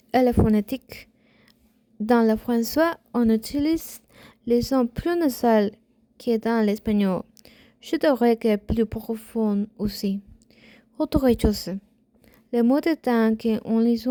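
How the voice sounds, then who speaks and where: Slow, hesitant Spanish-accented young woman. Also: on the high side, warm tone, dry recording. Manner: slow and hesitant, with pauses and self-corrections. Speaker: language student. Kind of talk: prepared spoken assignment answering questions.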